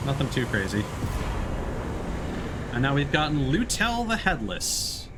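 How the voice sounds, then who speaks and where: A man giving casual live commentary as he streams a video game, often pausing to think aloud. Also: medium pitch, noisy background.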